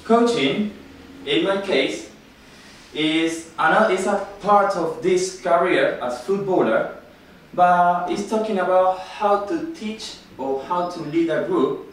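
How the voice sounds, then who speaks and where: A man from Ecuador with a Spanish accent gives an informal classroom presentation in halting, non-native English, often checking with a short tag question. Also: high-pitched. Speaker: student presenter, football coach. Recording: very echoey room, distant mic, noisy.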